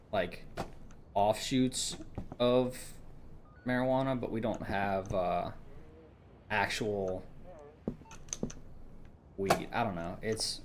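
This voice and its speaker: A man's voice: fairly low pitch, a little hiss or hum. Man with a light Southern accent, rambling. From Georgia, US. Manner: rambling, hesitant, many restarts and fillers. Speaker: streamer. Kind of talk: casual chat with stream viewers.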